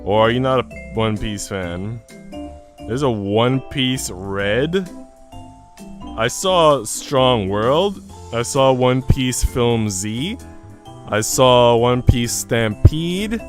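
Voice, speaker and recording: A young man talks in a medium-pitched voice over soft music. He is livestreaming a game, moving loosely between reading story text and informal, rambling chat full of slang. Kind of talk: casual livestream chat while reading a visual novel aloud.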